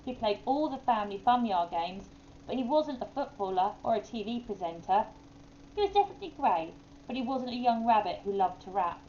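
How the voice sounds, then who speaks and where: Animated woman reading a children's story. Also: fairly high pitch, a little fast, muffled recording, a little hiss or hum. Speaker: primary school story reader. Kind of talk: expressive children's story narration.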